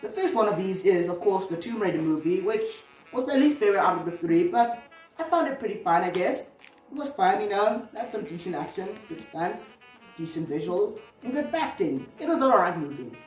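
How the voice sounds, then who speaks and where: A woman's voice: medium pitch, expressive, a little fast. Echoey, distant-sounding woman doing casual commentary. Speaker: video host. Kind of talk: casual commentary on video game movies. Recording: roomy, echoey, distant mic with music.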